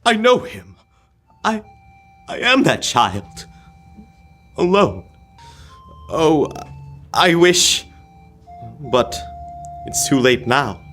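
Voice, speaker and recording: Man acting old miser, radio drama, wistful, stammering, slow, very animated pitch, music underneath. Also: full character voice. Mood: wistful, regretful. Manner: halting, exclamatory, stammering with emotion.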